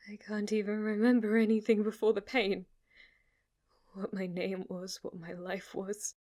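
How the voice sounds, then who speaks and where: An obsessive demon maid, played by a woman in an intimate ASMR roleplay. She is flirty and submissive, speaking to her master with reverent, fanatical devotion and slipping at times into breathy whispers. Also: fairly low pitch, darkish tone. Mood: hushed, pained.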